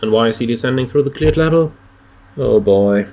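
A man's voice: mid-range voice, normal speed, dark tone, dull audio. Clipped man working as air traffic controller. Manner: clipped, procedural. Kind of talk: radio phraseology with asides to viewers.

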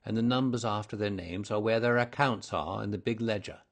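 A man's voice: on the low side, fairly quick. A man voicing a surly, hot-tempered market poultry seller in a storybook, blustering and combative. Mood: boastful, goading. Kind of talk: audiobook character voice.

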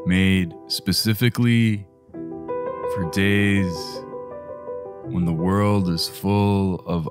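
Male meditation guide, low register, very unhurried, gentle hushed phrasing with long pauses. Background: music.

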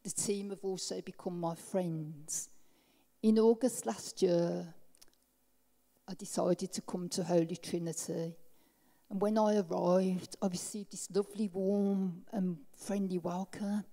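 A woman's voice: lowish voice, steady tone, a little slow, dark tone. Heartfelt middle-aged woman in church hall. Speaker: baptism candidate. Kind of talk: personal testimony. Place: large church hall with stage. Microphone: handheld mic. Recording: long hall reverb.

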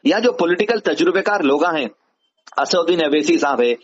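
High-pitched Hyderabadi man on a phone call. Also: expressive, a little fast, husky. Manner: didactic, uses rhetorical questions. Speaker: advisor answering a caller. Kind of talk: explanatory phone conversation. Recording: dull lo-fi phone call recording.

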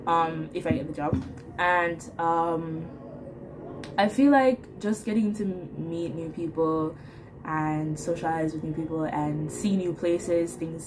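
A woman's voice: low-pitched, noisy background. An eighteen-year-old Jamaican woman with a Jamaican accent, talking in a chatty, bubbly way in a self-introduction video recorded on a webcam at home. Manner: bubbly, chatty, with light laughs. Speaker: work and travel applicant. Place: small room at home, indoors. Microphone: laptop webcam mic at close range.

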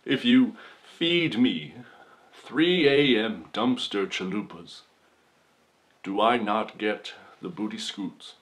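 A man playing a folksy, shameless accused man who gives a mock-solemn, melodramatic courtroom monologue with long dramatic pauses, for comedy. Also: on the low side, animated, a bit bright. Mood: wounded, solemn. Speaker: podcast performer.

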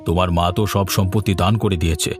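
A man voicing a low grumbling son-in-law. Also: fairly even intonation, rapid-fire, over music, natural voice. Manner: grumbling, resigned. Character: complaining young son-in-law.

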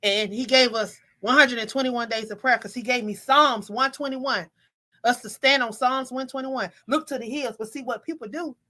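A woman's voice: a little high, normal speed, sharp tone. A middle-aged woman hosting a faith-based podcast panel over a video call, talking in a preachy, emphatic way with frequent restarts. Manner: preachy, restarts often, rising to exclaim. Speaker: podcast host. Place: home room on video call.

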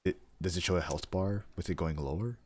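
Very deep-voiced young man, deadpan. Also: brisk, slightly rough, no echo. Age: young adult. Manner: deadpan, rambling. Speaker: streamer. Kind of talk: casual chat while gaming. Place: home room, seated.

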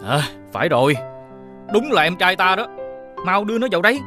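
Boastful, swooping rich elder brother. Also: male voice, sits high, animated, a little fast, over music. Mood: smug, expansive. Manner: condescending, expansive. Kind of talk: animated fairy-tale voice acting.

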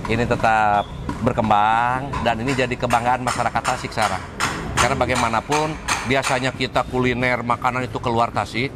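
A middle-aged man with a West Javan Indonesian accent, chatting enthusiastically and at length as a casual vlog host. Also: mid-range voice. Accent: Sundanese-region Indonesian. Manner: enthusiastic, talkative. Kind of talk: casual on-location vlog chat. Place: open-air cafe terrace and outdoor stairs. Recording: clear outdoor ambient noise, passing vehicles.

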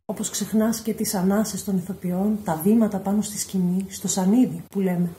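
A woman's voice: mid-range voice, fairly even intonation, mellow, reverberant. A woman in her thirties delivering a scripted, reflective message, recorded on a phone at home. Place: small indoor room at home.

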